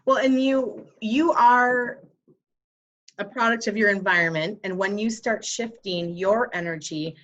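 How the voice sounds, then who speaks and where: A woman's voice: medium pitch, unhurried, a bit bright. A woman hosting a wellness podcast and explaining energy healing in an earnest, conversational way. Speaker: podcast host and Reiki practitioner. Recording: dull lo-fi sound.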